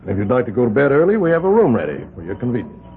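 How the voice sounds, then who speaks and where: A man's voice: medium pitch, wildly swooping pitch, very fast. An actor playing a secretive island man who guards his land with a rifle, polite on the surface and clipped when giving orders. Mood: sly courtesy. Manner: politely ominous, then clipped and commanding. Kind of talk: 1970s radio drama acting. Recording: narrow-band 1970s radio broadcast.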